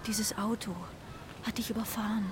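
A woman's voice: mid-range voice, little pitch movement, normal speed, a little hiss or hum, natural voice. A woman playing an earnest, anxious carrier pigeon, proud of its breed, in a children's radio play. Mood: frightened, urgent. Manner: earnest, emotional, swings from breathy hush to cries.

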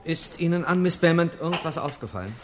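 An actor playing a wry, obliging newspaper reporter and detective's sidekick in a German radio crime drama. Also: male voice, fairly high pitch, expressive, quick pace, fairly bright tone. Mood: inquisitive. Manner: wry, mildly ironic, chatty.

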